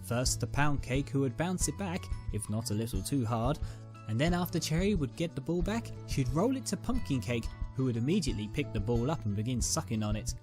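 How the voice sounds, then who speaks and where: A man's voice: medium pitch, animated, fairly quick, over music. A man reading a scripted dramatic story as its narrator.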